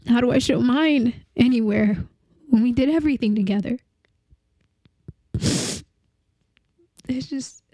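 A woman's voice: high voice, dark tone, no room sound. A woman playing a young woman in grief. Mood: heartbroken. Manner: halting, tearful, with restarts. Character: young woman grieving a lost partner. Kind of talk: dramatic monologue.

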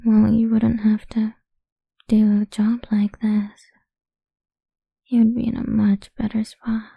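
A woman's voice: fairly high pitch, completely flat delivery, slow, vocal fry, breathy edge, no echo, narrow, tinny audio, heavily acted. A woman doing a cruel whispering tormentor. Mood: menacing. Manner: menacing whisper, taunting and crude. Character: cruel domineering woman, cold and mocking. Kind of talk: whispered audio roleplay.

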